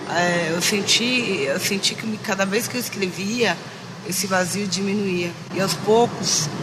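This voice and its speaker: Reflective São woman, noisy take. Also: mid-range voice. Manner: reflective, halting with restarts. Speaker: interviewee, author. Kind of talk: interview testimony. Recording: noisy background.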